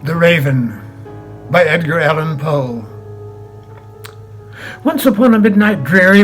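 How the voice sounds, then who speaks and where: A man with a distinctly high voice recites poetry in a theatrical style. He is expressive, swelling and dropping away for drama. Faint music sits behind him. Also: rough recording. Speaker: poetry reader. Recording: music under the voice. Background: background music.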